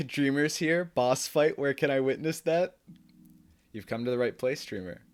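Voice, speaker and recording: A man's voice: medium pitch, unhurried. A young man from the United States hosting a live stream, chatting casually with viewers, laughing often and dropping into Turkish now and then. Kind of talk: casual chat with chat readers.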